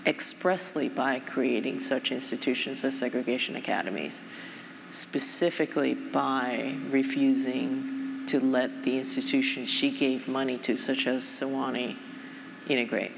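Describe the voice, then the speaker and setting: A woman's voice: extremely low pitch, steady tone, vocal fry. A middle-aged woman from Japan, head of a foundation, talking thoughtfully onstage with many fillers. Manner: thoughtful, measured, frequent fillers. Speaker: foundation president. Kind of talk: onstage conversation. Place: large conference stage. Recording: reverberant hall, faint noise.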